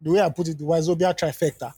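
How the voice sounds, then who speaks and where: A man who co-hosts a podcast, reading a listener's letter aloud and then chatting about it in quick bursts with frequent restarts. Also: on the high side, steady tone, warm tone, husky, dry recording, clean studio sound, in a large hall. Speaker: podcast co-host.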